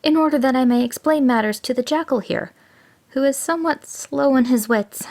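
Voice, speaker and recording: A woman's voice: high voice, normal speed, gravelly, a little mellow, pitched above the performer's own voice. A woman voicing a frightened male Brahmin who pleads nervously.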